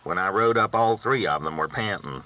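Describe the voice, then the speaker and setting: A man's voice: low-pitched, normal speed, pushed and bright. A man reading a novel aloud as a first-person storyteller, with folksy rural phrasing, on a dull recording. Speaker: audiobook reader.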